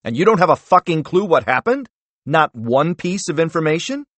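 A man's voice: mid-range voice, sing-song, normal speed, no echo, pitched above the performer's own voice, close to an everyday voice. A man voicing an arrogant, bullying middle-aged senator who barks and interrupts. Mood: angry. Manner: barking, condescending, interrupting.

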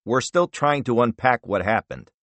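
A man's voice: mid-range voice, expressive, moderate pace, a bit bright, no echo, lightly acted. A man voicing a weary spy agency director in his late fifties who picks his words carefully. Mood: guarded. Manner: careful, restrained, frustrated.

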